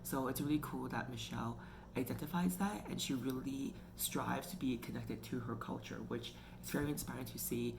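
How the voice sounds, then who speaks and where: A woman talks through a book review in a loose, chatty way, full of "like" and "you know." Her voice has a gravelly, creaky edge, and there's a clear layer of noise behind her. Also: extremely low pitch, moderate pace. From Canada. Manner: chatty, filler-heavy with frequent "like" and "you know". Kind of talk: casual book review talk. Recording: noticeable background noise.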